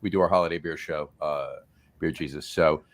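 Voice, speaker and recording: A man's voice: extremely low pitch, steady tone, brisk. A man co-hosting a beer show, joking around and reading out viewer comments in a casual way. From North Jersey, US. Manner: jokey, reads out viewer comments. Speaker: show co-host. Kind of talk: casual podcast chat.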